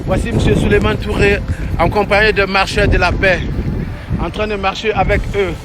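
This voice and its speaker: A man's voice: sits high, little pitch movement, normal speed, loud music behind the voice. A man reporting live and enthusiastically from a street event, repeating his exclamations. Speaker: reporter filming a march. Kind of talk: excited live street commentary. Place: outdoors on a busy street. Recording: loud crowd and street noise behind.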